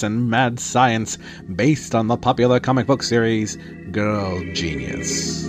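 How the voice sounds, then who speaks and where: A man delivers a scripted radio theater introduction as announcer. His pitch moves a lot, his delivery is unhurried, and loud music plays behind him.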